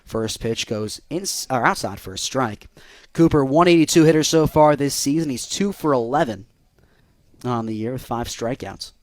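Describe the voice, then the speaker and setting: A man's voice: medium pitch, moderate pace, dry recording. A young American man doing live radio play-by-play of a baseball game as the announcer.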